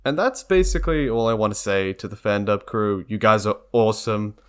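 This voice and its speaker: A man's voice: on the low side, a little fast, clear, resonant tone. A young man talking casually and earnestly in a rambling, informal way, as a video creator addressing viewers. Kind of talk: casual vlog talk to camera or mic.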